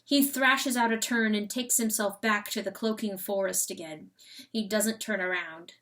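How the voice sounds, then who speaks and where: A woman's voice: mid-range voice, normal speed, fairly bright tone. A woman giving a theatrical, emphatic dramatic reading of a story as an audiobook narrator. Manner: theatrical, emphatic, rhetorical questions.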